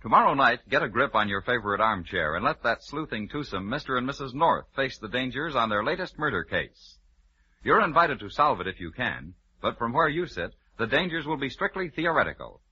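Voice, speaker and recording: A man's voice: medium pitch, sing-song, brisk, bright, forceful tone, breathy edge. A man announcing in a polished, promotional 1950s network radio style, on a muffled old recording.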